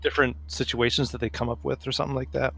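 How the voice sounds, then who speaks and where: A man playing a tabletop roleplaying game, moving between casual chat and acting out his character with halting, deliberate phrasing. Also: medium pitch, flat delivery, gravelly, a bit bright, breathy edge.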